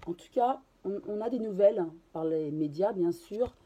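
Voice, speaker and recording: Hoarse woman with a cold. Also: mid-range voice, normal speed, warm tone. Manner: hesitant, many fillers and restarts. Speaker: card reader and channeler. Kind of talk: casual tarot reading to camera.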